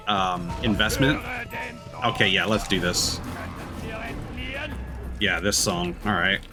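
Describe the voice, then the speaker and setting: A man giving casual let's-play commentary as a game streamer, thinking aloud in a hesitant way. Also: fairly low pitch. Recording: game music behind the voice. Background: video game music.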